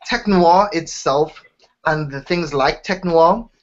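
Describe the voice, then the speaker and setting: A man's voice: fairly high pitch, takes it slowly. A young man from Trinidad and Tobago with a Trinidadian accent, eagerly telling his startup story in an interview, often restarting his sentences. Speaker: startup founder, interview guest. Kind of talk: casual interview talk. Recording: dull lo-fi sound.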